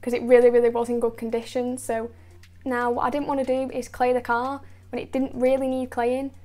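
A woman narrating a car detailing video in a steady, explanatory voice-over, going through each step and product methodically. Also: fairly high pitch. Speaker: car detailing channel host.

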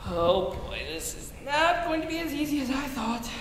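A man's voice: extremely high pitch, very animated, moderate pace, a bit bright, slight background noise, full character voice. Man acting an eager, lilting guardian angel. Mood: concerned. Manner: genteel, earnest, naive. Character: ancient, innocent, eager male second-class guardian angel. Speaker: stage actor. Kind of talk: live 1940s-style radio play acting. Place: theater stage in a large auditorium. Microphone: distant camera. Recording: echoey hall from far off.

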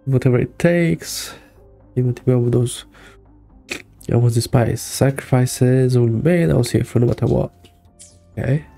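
A man in his thirties gives an offhand game reaction, reading along as he plays. His voice is very dark and relaxed with heavy fry, and soft game music sits behind him. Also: medium pitch, moderate pace, long pauses. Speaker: streamer. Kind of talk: casual game reaction, reading on-screen text aloud. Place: small indoor room.

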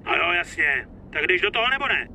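A man's voice: high voice, big pitch swings, bright, forceful tone, slight background noise, full character voice, rough recording. An actor playing a hot-headed, foul-mouthed mobster in his thirties, with coarse, blunt delivery in a dubbed crime game. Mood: brusque. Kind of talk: dramatic dubbed video game acting.

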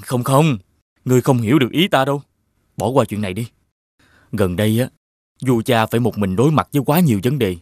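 An actor playing a gentle, wistful young prince in an animated fairy tale, pensive and sincere. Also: male voice, medium pitch, a little fast, pauses often, no echo, studio quality, natural voice. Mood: wistful, troubled.

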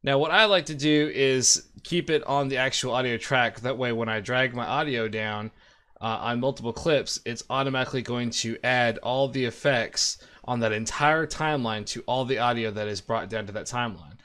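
Man, thirties, informal tutorial host with mid-pitched voice, explaining software steps casually. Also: normal speed. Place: indoor room at a desk.

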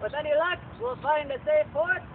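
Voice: high-pitched, normal speed, natural voice. A puppeteer playing a blustering, theatrical male Viking captain in a live puppet show. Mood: grumbling, bossy. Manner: theatrical, declamatory. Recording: tinny, noisy outdoor recording from afar.

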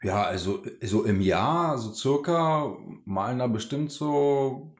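Chatty co-host in a roomy space. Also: male voice, medium pitch, expressive, slow pace. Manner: chatty, colloquial. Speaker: podcast co-host. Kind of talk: casual podcast chat.

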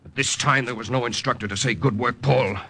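A man's voice: mid-range voice, fairly quick, pushed and bright, a little hiss or hum. A man acting as a tense, resolute young American secret agent in an old-time radio drama, with a hard-boiled dramatic delivery.

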